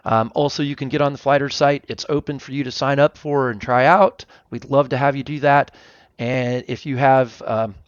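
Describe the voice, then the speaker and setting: A man's voice: mid-range voice, steady tone, moderate pace, smooth, no room sound. Man presenting, dry slightly dull recording. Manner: explanatory, step-by-step. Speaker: software demo presenter. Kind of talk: informal product walkthrough narration.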